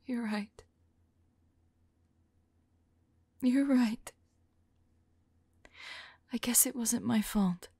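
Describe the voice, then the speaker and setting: A woman playing a remorseful, lovelorn female vampire in an ASMR roleplay, whispering softly and tenderly in a halting, intimate monologue. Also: on the high side, no echo, heavily acted. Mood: tender, relieved. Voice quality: soft, breathy whisper. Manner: slow, halting, tender delivery.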